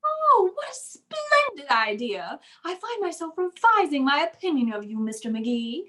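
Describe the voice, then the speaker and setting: A woman's voice: high-pitched, sing-song, measured pace, a bit bright, full character voice. A woman playing a haughty, well-bred society lady with formal, pompous diction in an old-time radio comedy. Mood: gracious, formal.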